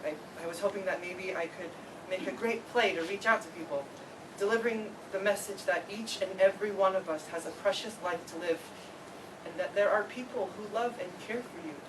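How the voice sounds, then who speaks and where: A woman's voice: medium pitch, brisk, close to an everyday voice. A woman playing an awkward, eager, earnest classmate in an amateur stage play reading, theatrical in places. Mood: sincere, wistful. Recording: distant mic, clearly noisy live room.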